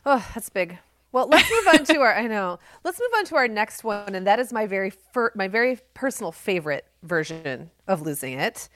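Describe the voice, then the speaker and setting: A woman in her forties co-hosting a podcast, telling stories in a loud, animated, projecting voice. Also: medium pitch, moderate pace, no room sound. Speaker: podcast co-host. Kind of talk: casual chat.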